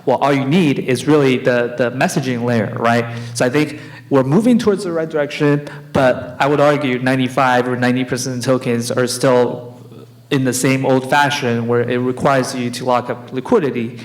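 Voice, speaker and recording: A man's voice: medium pitch, fairly even intonation, normal speed, poor audio. Man explaining on a conference panel. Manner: explanatory, tags points with "right?". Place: conference hall.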